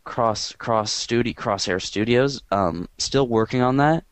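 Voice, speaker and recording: A man hosting a podcast in casual chat, with a quiet, monotone voice and a rambling, dry way of talking. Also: mid-range voice, measured pace, dry recording. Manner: rambling and dry, filler-heavy. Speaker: podcast host.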